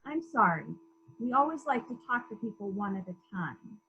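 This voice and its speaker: A woman playing a calm, persistent police investigator in a murder mystery reading, formal, measured and politely probing. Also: fairly high pitch, highs cut off, a little hiss or hum. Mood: polite, firm.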